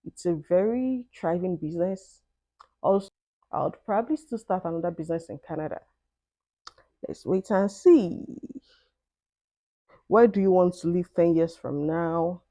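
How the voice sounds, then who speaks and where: Nigerian woman in her twenties or thirties, vlog Q&A, low mellow tone, dry close sound. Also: moderate pace, pauses often, no echo. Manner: thoughtful, conversational, with "I mean" asides. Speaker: vlogger. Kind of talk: Q&A vlog, reading questions and answering.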